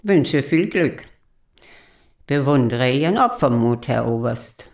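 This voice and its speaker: An actress playing a proud old German countess in a radio drama, gravelly, formal and indignant, grieving for her monarchy. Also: a bass voice, animated, slow pace, a little mellow, exaggerated voice. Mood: haughty, probing. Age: older.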